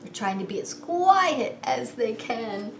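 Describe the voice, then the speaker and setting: A woman hosting story time as a preschool teacher, reading in a sing-song, animated way and coaxing small children with questions. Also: on the high side, slow pace, vocal fry. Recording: roomy echo with some background noise.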